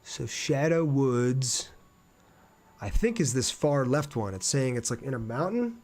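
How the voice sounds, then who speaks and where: A man's voice: mid-range voice, expressive, a little slow, a little mellow. Casual man streaming a video game. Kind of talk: casual livestream gameplay commentary with chat replies.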